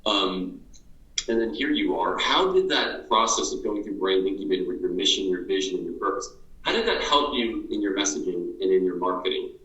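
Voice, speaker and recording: Deep-voiced man interviewing earnestly. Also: lively intonation, fairly quick, sharp tone, echoey room, muffled recording. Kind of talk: motivational interview.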